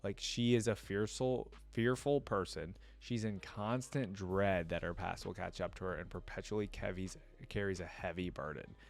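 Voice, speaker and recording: Low-pitched man, casual reaction commentary. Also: fairly even intonation, moderate pace, vocal fry, few pauses. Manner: informal, thinking aloud between read passages. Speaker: reaction video host. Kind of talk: casual reaction commentary mixed with reading aloud.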